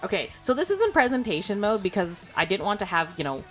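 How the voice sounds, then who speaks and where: A woman's voice: mid-range voice, very animated, quick pace, fairly bright tone, faint music behind. A young adult woman streaming, chatting casually and rambling with lots of fillers and giggles. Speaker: VTuber streamer. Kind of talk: casual livestream chat.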